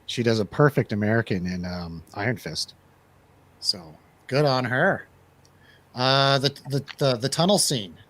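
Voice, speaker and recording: A man's voice: medium pitch, measured pace, a bit bright. Man, mid-range voice, enthusiastic, slangy, casual review chat. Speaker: film review show host.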